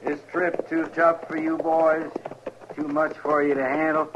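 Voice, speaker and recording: A man acting a sly, insolent outlaw in an old radio western, American, with a fairly high voice. He needles with mock sympathy, heard through a noisy, dulled vintage recording. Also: flat delivery, unhurried, dull audio, natural voice. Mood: taunting. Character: taunting outlaw prisoner, a murderer, slyly insolent.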